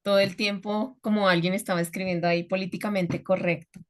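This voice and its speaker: A woman's voice: medium pitch, little pitch movement, fairly quick. A woman with a Colombian accent, a communication trainer speaking conversationally and checking in often with her listeners. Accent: Colombian Spanish. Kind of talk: conversational talk.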